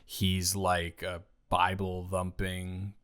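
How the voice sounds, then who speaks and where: A young American man improvising a casual roleplay chat, talking emphatically and haltingly, repeating words for stress. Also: deep voice, completely flat delivery, fairly bright tone. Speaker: audio roleplay performer.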